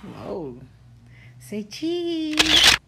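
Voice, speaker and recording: High-pitched, very animated woman reading storybooks. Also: very slow, very noisy. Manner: playful, affectionate sing-song address to children. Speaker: children's read-aloud host.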